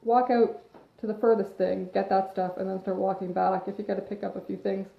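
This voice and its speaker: A woman's voice: mid-range voice, level tone, fairly quick, vocal fry. Chatty sniffly woman, echoey lo-fi livestream. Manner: chatty, rambling, goes off on tangents. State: sniffly from allergies. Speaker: fitness coach hosting a live video. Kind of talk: casual chat, advice monologue. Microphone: phone or webcam livestream. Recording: echoey room, dull lo-fi sound.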